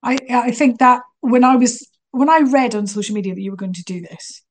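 Woman interviewer, conversational and a little high-pitched. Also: steady tone, fairly quick. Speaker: host. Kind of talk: casual interview chat.

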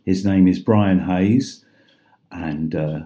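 Low, mellow-voiced man hosting a show. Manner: chatty, halting, frequent agreeing interjections. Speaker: host. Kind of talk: casual interview.

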